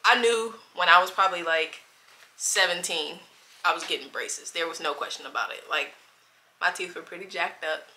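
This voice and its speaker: A woman's voice: fairly low pitch, normal speed, sharp tone, a little hiss or hum. A woman in her twenties chatting casually to her camera, rambling and stopping to correct herself. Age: 20s. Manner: rambling, loses track of the timeline and self-corrects. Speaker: vlogger. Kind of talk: casual chat.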